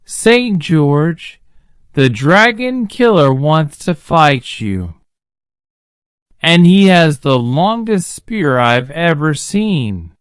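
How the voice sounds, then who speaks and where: A woman's voice: sits low, very animated, drawn-out words, smooth, dry recording, clean studio sound, voice pushed up, heavily acted. A woman voicing an earnest, anxious young boy from a storybook, urgent and pleading. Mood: anxious warning.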